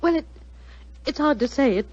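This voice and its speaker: Scared posh young british lady, higher voice, muffled old radio drama sound. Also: animated, normal speed, a little mellow. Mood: anxious recollection. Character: frightened, well-bred young English woman. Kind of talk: 1940s radio acting. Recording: muffled 1940s radio recording.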